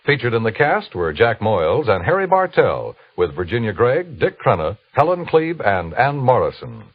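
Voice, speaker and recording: A man's voice: medium pitch, sing-song, normal speed, slight background noise. An American man announcing from a script in old-time radio style. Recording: muffled old radio broadcast. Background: music.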